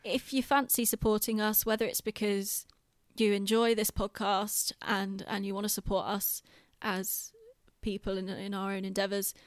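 British female, mid-pitched, informal podcast chat, lightly self-deprecating, dry sound with little room. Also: little pitch movement, moderate pace, hardly any pauses, no room sound. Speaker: podcast host and theatre director.

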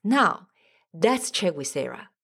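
Lively low-voiced woman podcast host. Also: slow pace, creaky, slightly rough. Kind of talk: podcast intro and interview.

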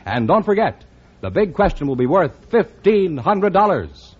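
A man's voice: high voice, very animated, a little slow, breathy edge. An American man announcing in scripted mid-century radio style with a polished, salesman-like delivery. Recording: muffled old radio recording.

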